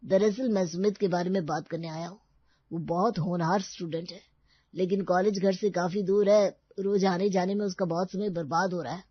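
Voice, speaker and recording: A woman's voice: on the low side, steady tone, normal speed, husky, no room sound, lo-fi sound. A woman voicing a courteous, supportive male college professor in a cartoon story, formal and reassuring.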